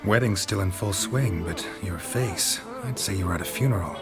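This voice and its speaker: A laconic male monster hunter from a fantasy game. His voice sits very low, gruff and dry, speaking in clipped deadpan lines with quiet tenderness over clear background music. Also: moderate pace. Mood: tender, reassuring. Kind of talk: video game dramatic acting.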